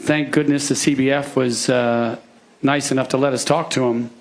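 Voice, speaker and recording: A man, speaking at a press conference and pausing often with fillers. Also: mid-range voice, steady tone, low-quality recording. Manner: halting, with many fillers. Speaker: club owner at a press conference.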